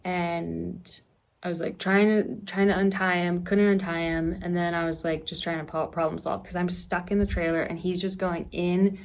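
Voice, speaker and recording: A woman's voice: on the low side, little pitch movement, normal speed. A woman vlogger telling a casual personal story, acting out reactions as she goes.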